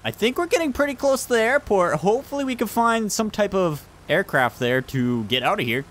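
A man's voice: high-pitched, big pitch swings, brisk, a bit bright. A man chatting casually through multiplayer gameplay as the group's leader, calling out directions and instructions to teammates. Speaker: gamer leading a multiplayer roleplay group.

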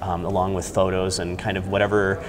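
Male interviewee, thirties, American, lowish voice, explaining with pauses, noisy lobby location. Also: little pitch movement. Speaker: theater company staff member interviewed as guest. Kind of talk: informal on-camera interview. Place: large indoor lobby. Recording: clear background noise, busy lobby ambience.

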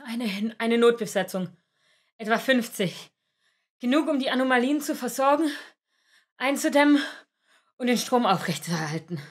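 Actress as audiobook field agent; slightly high, swooping pitch, matter-of-fact, correcting. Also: female voice, animated, normal speed, pauses often. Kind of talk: audiobook character acting.

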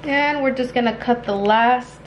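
High-voiced young woman, noisy kitchen vlog. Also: unhurried. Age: young adult. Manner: informal, chatty, occasionally crude. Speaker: home baking vlogger. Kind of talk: casual instructional chat to viewers. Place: small home kitchen, indoors. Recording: clearly noisy home kitchen audio with clicks.

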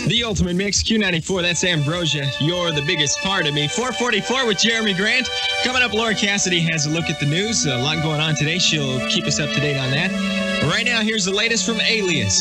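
A male American radio DJ with a high-pitched voice talks in a punchy, polished top 40 style. Music plays loudly behind him, as on a 1990 aircheck. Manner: punchy, polished announcer delivery with joking asides. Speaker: radio DJ, afternoon drive host. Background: loud music.

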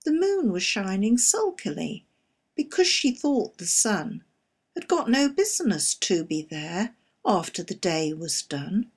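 Husky woman reciting narrative verse. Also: medium pitch, big pitch swings. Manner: measured, storytelling delivery. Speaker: poetry reader.

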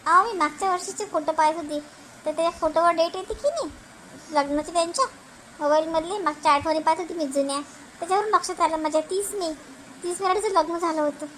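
A woman's voice: extremely high pitch, a little fast, a bit bright, slight background noise, rough recording. A woman voicing an eager, chatty young wife in a cartoon family comedy, explaining things. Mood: excited. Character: eager young wife, chatty sister-in-law planning kindly.

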